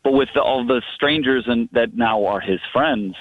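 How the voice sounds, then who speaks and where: A man's voice: medium pitch, normal speed, no echo. Man reminiscing over a dull phone line. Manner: rambling, frequent 'you know' asides. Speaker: phone-in guest sharing memories. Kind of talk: casual reminiscing. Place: calling in to a radio show. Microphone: telephone. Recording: muffled phone line on radio.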